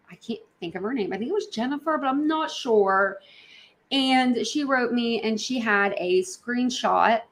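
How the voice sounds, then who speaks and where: A woman's voice: fairly high pitch, a bit bright. A woman hosting a casual livestream, reacting to videos in a rambling way, repeating herself and talking straight to her viewers. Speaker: livestream host.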